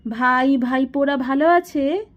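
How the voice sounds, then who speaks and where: A woman's voice: high-pitched, slow pace, pitched above the performer's own voice. A woman voicing a friend's courteous, welcoming wife in an audio storybook, cordial and inquiring. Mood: cordial welcome.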